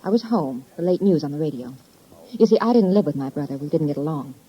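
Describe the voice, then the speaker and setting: A woman's voice: deep voice, lively intonation, quick pace, husky. An actress playing a callous, bitter young woman suspect with an American accent, blunt and coolly mocking, in 1950s radio crime drama style. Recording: muffled vintage 1950s radio recording.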